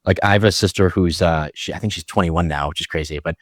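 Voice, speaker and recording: Young guy hosting a podcast, deep voice, lots of ums and likes, dry sound. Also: quick pace, dry recording. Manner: rambling, hesitant, many fillers. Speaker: podcast host. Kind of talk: casual interview questions.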